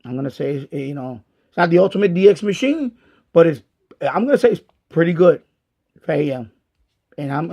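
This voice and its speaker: A middle-aged man talks through a product review off the cuff. His voice sits a little high for a man and has plenty of fry in it. He feels his way through each point, often halting and restating it. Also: darkish tone. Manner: halting, repetitive, thinking aloud. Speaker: radio hobbyist reviewing a portable radio. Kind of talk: casual unscripted product review.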